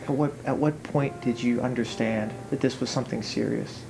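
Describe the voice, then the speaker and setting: A man interviewing off camera, asking calm, measured questions. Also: medium pitch, vocal fry, background noise.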